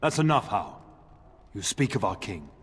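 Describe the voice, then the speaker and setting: An actor playing a middle-aged noble lord and father in a video game, fond but grave, speaking in a formal, measured way. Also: fairly low pitch, sing-song, husky, exaggerated voice. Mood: stern. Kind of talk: video game cutscene acting. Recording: long reverb, music underneath.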